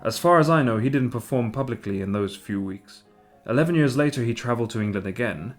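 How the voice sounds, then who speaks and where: A man's voice: medium pitch, lively intonation, quick pace, faint music behind, close to an everyday voice. An actor playing a polite, curious man conducting an interview in a radio play, conversational and inquisitive.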